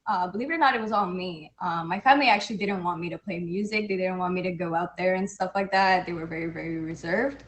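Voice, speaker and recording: A woman's voice: fairly low pitch, fast talker, few pauses. A woman from Canada whose first language is Portuguese, chatting as an interview guest in an easygoing, modest way. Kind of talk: casual interview.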